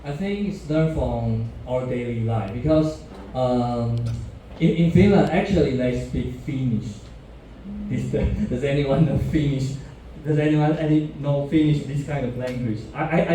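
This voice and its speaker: A man in his thirties from Taiwan giving a talk to university students, halting, with many restarts and fillers. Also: mid-range voice, lively intonation, warm tone, recorded from a distance, slight background noise. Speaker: guest speaker. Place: university classroom. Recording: echoey lecture room from afar.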